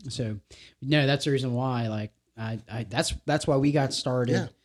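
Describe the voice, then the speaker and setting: A man's voice: medium pitch, unhurried. A man hosting a local podcast, laid-back and informal, often saying dude and restarting his sentences. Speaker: podcast host. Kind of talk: casual interview chat.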